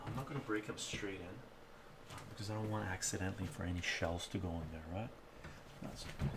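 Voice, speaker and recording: A man's voice: fairly low pitch, gravelly, very noisy. A middle-aged man chatting casually to viewers while cooking in his home kitchen, moving toward and away from a fixed camera mic and often asking "right?". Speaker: cooking streamer. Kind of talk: casual live-stream cooking chat. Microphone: fixed camera mic, moves toward and away from it.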